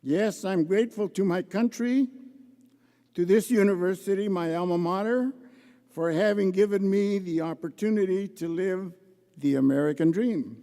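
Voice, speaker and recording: An older man giving a measured, heartfelt acceptance speech at a gala and reciting a poem. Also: sits high, mellow. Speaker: award honoree, judge. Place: large indoor arena gala. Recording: echoey large arena.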